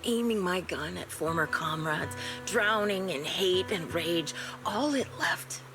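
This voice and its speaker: A vengeful ex-soldier haunted by war, in a story-driven game. Her voice sits low and broods, with measured, breathy trailing phrases. Music plays clearly underneath. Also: expressive. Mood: resigned, menacing. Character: haunted vengeful ex-soldier woman, coldly resigned, confessing killer. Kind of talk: scripted video game story acting.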